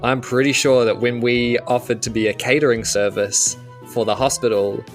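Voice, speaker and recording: New man as game player, middle pitch, playful banter, music bed. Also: fairly even intonation, normal speed. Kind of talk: casual improvised game banter.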